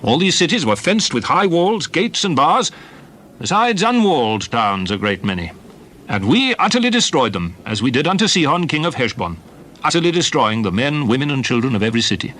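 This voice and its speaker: A solemn leader of ancient Israel in a dramatized scripture reading. The voice is bright and forceful, with a mid pitch that rises and falls widely. Phrases are weighty, emphatic and deliberate, in old-fashioned biblical English. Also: male voice, sing-song, slight background noise. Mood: solemn recounting. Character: solemn Old Testament leader recounting wars and journeys.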